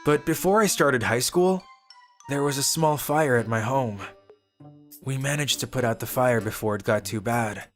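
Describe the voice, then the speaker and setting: A woman voicing a sleep-deprived, shy teenage schoolboy in a manga dub, soft-spoken and hesitant. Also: a bass voice, moderate pace. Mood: shy polite. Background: faint music.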